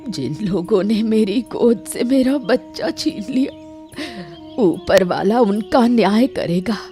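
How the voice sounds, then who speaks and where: Anguished village mother, swooping pitch, played by a woman. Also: expressive, slow, dark tone, soft background music. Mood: anguished, vengeful. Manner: wailing, lamenting. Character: young village mother mourning her little son. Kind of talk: melodramatic Hindi cartoon story acting.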